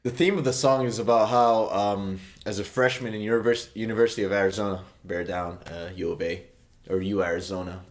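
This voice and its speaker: A young man from Saudi Arabia, a native Arabic speaker, casually explaining the meaning of his song in a meandering, self-correcting way with many fillers. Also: medium pitch.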